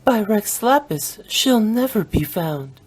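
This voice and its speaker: A woman's voice: mid-range voice, animated, takes it slowly, breathy edge. A woman voicing a playful, teasing young woman director in a video game, with theatrical storytelling. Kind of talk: scripted video game voice acting.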